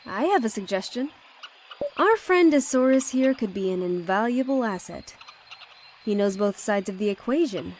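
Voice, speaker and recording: A woman voicing a composed, learned priestess in a fantasy video game, speaking in a measured, formal and assertive way. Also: mid-range voice, a little mellow, slight background noise, natural voice. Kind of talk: English video game cutscene acting.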